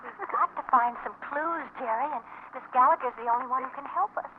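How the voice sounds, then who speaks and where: An actress as high-voiced, keen woman sleuth. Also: a little fast, lightly acted. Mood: anxious. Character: keen, anxious amateur-sleuth wife. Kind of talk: 1940s radio acting. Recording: tinny old radio broadcast, faint noise.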